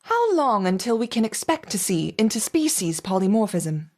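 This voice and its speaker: A woman's voice: medium pitch, big pitch swings, normal speed, a little mellow. A woman playing a demanding, formal lead scientist in a video game, with clipped authority. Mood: cool inquiry. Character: brisk, demanding female lead scientist overseeing an experiment.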